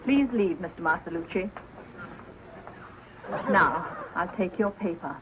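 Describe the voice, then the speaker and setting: An actress playing a strict, prim night-school teacher with a formal, authoritative manner in a vintage sitcom. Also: female voice, lowish voice, a little slow, close to an everyday voice. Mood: stern. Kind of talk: 1940s television sitcom acting. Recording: muffled, hissy old 1940s broadcast.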